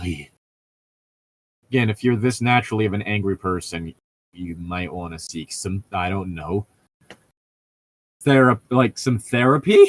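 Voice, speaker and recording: A man's voice: on the low side, a bit bright, no room sound. Casual, rambling man in easy conversation. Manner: rambling, profane, digressive. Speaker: podcast co-host. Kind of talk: casual chat and commentary while reading a novel aloud.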